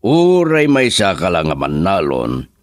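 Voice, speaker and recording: A man's voice: low-pitched, huge pitch swings, a little slow, no echo, studio quality, heavily acted. An actor playing an older, encouraging businessman boss in an Ilocano radio drama. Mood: persuasive.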